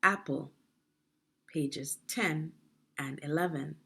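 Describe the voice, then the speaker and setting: A woman leads a guided reading lesson for children in a fairly low voice with a slightly husky, creaky edge. She phrases each part deliberately and instructively, pausing to pose questions to young readers. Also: sharp tone.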